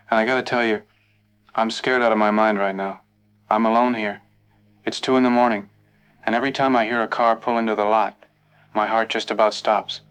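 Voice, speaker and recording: A man's voice: lowish voice, a bit bright, clean tone, lightly acted. An actor playing a scared male motel clerk who calls in to a radio show, talking anxiously and hesitantly. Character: nervous male night-shift motel clerk. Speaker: caller.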